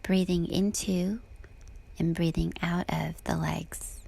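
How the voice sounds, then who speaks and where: Slow, husky woman guiding meditation. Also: fairly low pitch. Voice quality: soft, breathy, husky. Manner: calm, gentle, unhurried with long pauses. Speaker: meditation guide. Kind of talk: guided body scan meditation.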